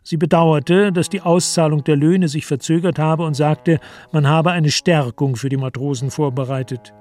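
A man narrating a German radio play as scripted literary storytelling, in a measured and deliberate manner. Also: a little high, steady tone, darkish tone.

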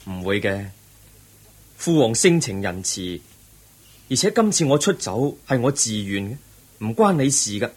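An actor playing a calm, resolute young prince, gentle and earnest, in a radio drama. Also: male voice, mid-range voice, measured pace, long pauses, slightly rough. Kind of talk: Cantonese radio drama acting.

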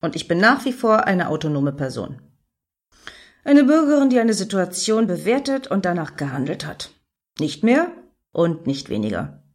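A woman's voice: on the low side, very animated, normal speed. An actress playing an impatient, self-assured, defiant woman in a satirical radio play, brusque and assertive.

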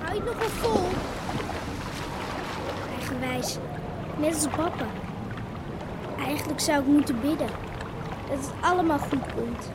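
A woman's voice: high-pitched, lively intonation, measured pace, pauses often. A woman playing an anxious, plucky little girl in a Dutch radio drama, in short childlike phrases amid noisy water sounds.

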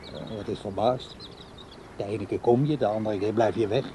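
A mellow, soft-edged old man in a naturalistic radio drama, played by a male actor. He is needy and insistent, with a halting, pleading way of speaking, over clear background noise and birdsong. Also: on the low side, fairly even intonation, close to an everyday voice. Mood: wounded, suspicious. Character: insistent, needy old man courting a much younger woman. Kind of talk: naturalistic radio play dialogue.

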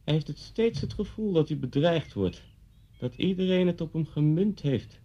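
An actor playing a guarded visitor nursing a quiet grudge in a radio drama, speaking in a probing, deliberate way. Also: male voice, a little high, animated. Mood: guarded, uneasy. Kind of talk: naturalistic radio drama acting. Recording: muffled, dull radio play recording.

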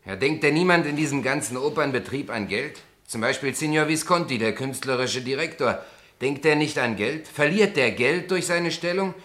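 An actor playing a naive but sensible, unassuming newcomer president in a German radio play, questioning and matter-of-fact. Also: male voice, medium pitch, animated, moderate pace, a bit bright, lightly acted. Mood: puzzled, skeptical. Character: plain-spoken, naive, sensible new opera board president.

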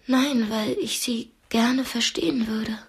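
An actress playing a frightened but defiant young captive woman in a radio drama, breathy and pointed in her speech. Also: on the high side, steady tone, slow. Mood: quiet, probing. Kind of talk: German radio drama dialogue.

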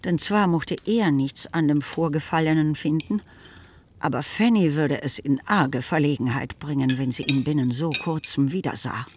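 A woman's voice: sits low, moderate pace, husky. A woman narrating a literary radio play as scripted storytelling.

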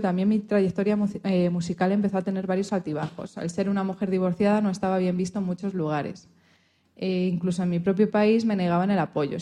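A woman's voice: mid-range voice, dark tone, lightly acted. A woman playing a resilient, proud historical pianist and composer, telling her life story earnestly in a radio interview. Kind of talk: scripted mock radio interview.

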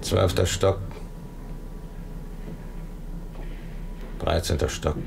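A low, sardonic hard-boiled tough. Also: male voice, steady tone. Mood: mocking menace. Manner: mocking, deliberate. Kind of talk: German radio crime drama acting. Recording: old radio play with clear background noise.

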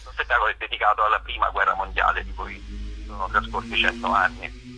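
A man's voice: on the low side, little pitch movement, brisk, pushed and bright, a little hiss or hum. A man interviewed by phone as a guest performer, explaining discursively and searching for his phrases. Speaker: theatre writer-performer being interviewed. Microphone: telephone call to radio. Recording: dull, band-limited phone line.

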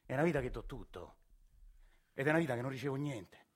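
A man's voice: mid-range voice, animated, fast, close to an everyday voice. A male stage actor playing Agostino, a put-upon, desperate working man with a Roman accent, colloquial and plaintive. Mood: bitter, pleading. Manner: colloquial, pleading, exasperated. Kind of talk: theatrical monologue with several characters.

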